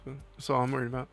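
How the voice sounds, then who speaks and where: Young male streamer, mid-pitched, loose and rambling chat with frequent laughs, faint music bed. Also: gravelly, sharp tone, dry recording. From New Jersey. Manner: rambling, laughs often, reads chat aloud. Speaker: livestream host. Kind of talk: casual chat with live chat.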